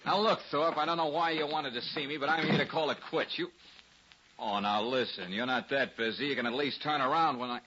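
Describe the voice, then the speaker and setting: A man's voice: mid-range voice, fairly quick, bright, forceful tone, natural voice. Wisecracking radio private eye, acted by a man, hissy recording. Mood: brisk, sardonic. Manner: breezy, slangy, sardonic. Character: wisecracking, tough but easygoing male private investigator. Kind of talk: 1940s radio drama acting. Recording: noisy lo-fi 1940s radio recording.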